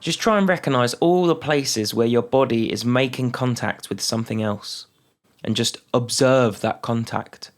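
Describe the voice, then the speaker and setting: Guy with a gravelly voice talking you through a breathing meditation, calm and slow, lots of pauses. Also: mid-range voice. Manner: calm, unhurried, with long pauses between phrases. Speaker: meditation guide. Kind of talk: guided mindfulness meditation.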